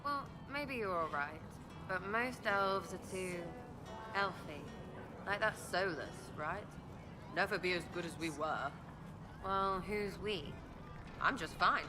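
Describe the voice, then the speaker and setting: A woman's voice: fairly low pitch, takes it slowly, music in the background. A woman voicing a brash young elf archer in a video game, with an English accent and flippant, slangy, clipped phrasing. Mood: mocking, irritated. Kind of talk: video game character acting.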